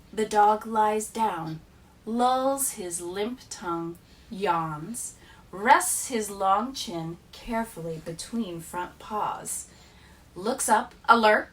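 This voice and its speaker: A woman teacher reads poetry aloud for young listeners. Her delivery is expressive and unhurried, with a medium pitch. She sounds set back somewhat from the microphone. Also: recorded from a distance. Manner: deliberate, expressive storytelling delivery. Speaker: teacher reading poems aloud to schoolchildren. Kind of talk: expressive read-aloud of children's poems.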